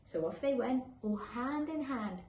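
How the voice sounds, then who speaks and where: A woman reading a children's picture book aloud live, with an expressive, sing-song storytelling delivery. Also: sits high, measured pace. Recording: echoey room with faint background noise.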